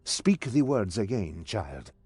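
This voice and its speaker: An actor playing a stern, commanding old mentor in a game cutscene, with theatrical delivery. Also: male voice, fairly low pitch, big pitch swings, slow, a little mellow, husky, full character voice. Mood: grave, kindly. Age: older. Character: stern old male mentor, servant of a master. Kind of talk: scripted game cutscene acting.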